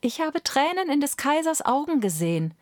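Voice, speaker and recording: Lady doing a little songbird, sweet and modest, soft storybook voice, dry recording. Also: high voice, sing-song, moderate pace, no echo, voice pushed up. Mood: humble. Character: small grey nightingale, modest and sweet.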